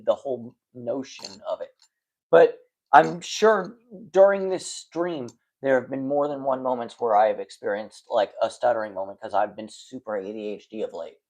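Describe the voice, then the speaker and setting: A man with an excited stutter rambles casually to a small stream audience. He restarts sentences often and fills the gaps with "like" and "you know." His voice sits at an ordinary middle pitch. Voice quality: stutters and repeats words when excited. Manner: rambling, frank, lots of fillers and restarts. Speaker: livestreamer chatting with viewers. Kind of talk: late-night casual stream chat.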